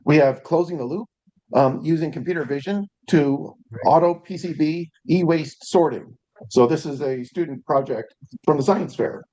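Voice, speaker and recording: A man hosting a tech meetup, announcing upcoming events and introducing the evening's speaker in a relaxed, chatty way with frequent ums, speaking into a handheld microphone in a meeting room. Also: a little high, moderate pace, no room sound, lo-fi sound. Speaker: meetup host.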